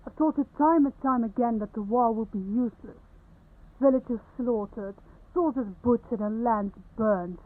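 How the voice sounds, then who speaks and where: A woman's voice: mid-range voice, natural voice. A woman playing a defiant, idealistic young princess in a fantasy audio drama, articulate and scornful of war. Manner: articulate, accusing. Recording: thin, phone-like muffled sound.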